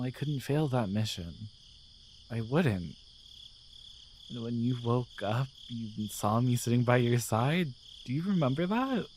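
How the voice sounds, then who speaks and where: A man playing a gentle, devoted guardian angel in a romantic ASMR roleplay, speaking in a tender, hesitant, reassuring way. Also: mid-range voice, slight background noise. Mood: earnest, resolute. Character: gentle, devoted male guardian angel in love with his human.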